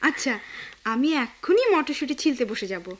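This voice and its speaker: A chirpy young housemaid in a radio play. Her very high voice bounces with eager politeness. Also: animated, fairly quick. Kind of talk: Bengali radio drama acting.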